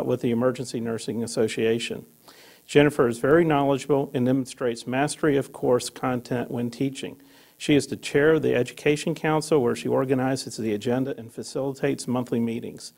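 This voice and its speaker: Gravelly man reading an award citation. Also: mid-range voice, a little mellow. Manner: plain reading with small fillers and restarts. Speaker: presenter of an employee award. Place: meeting room.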